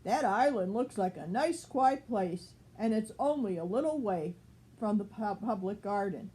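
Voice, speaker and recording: A woman's voice: medium pitch, expressive, a little slow, warm tone, natural voice, rough recording. An older woman voicing a polite, agreeable father mallard duck from a storybook, speaking with emphasis. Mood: pleased. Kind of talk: storybook character reading.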